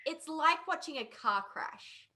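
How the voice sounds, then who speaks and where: A woman's voice: high voice, animated, unhurried, crowd noise. A woman co-hosting a podcast, talking casually in a panel discussion. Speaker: podcast co-host.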